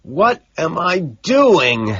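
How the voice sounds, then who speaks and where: A man playing a frantic young man yelling into a phone. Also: sits high, animated, extremely slow, dull audio, pitched above the performer's own voice, full character voice. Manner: shouting, desperate, strained. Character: distraught young man shouting on a payphone.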